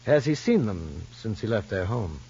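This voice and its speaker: Formal child psychologist, lowish voice, animated intonation, deliberate questions, vintage radio drama, dull recording. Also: male voice, breathy edge, exaggerated voice. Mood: calm, probing. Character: measured, probing male child psychologist. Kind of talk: 1950s radio soap opera acting. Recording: muffled old radio recording.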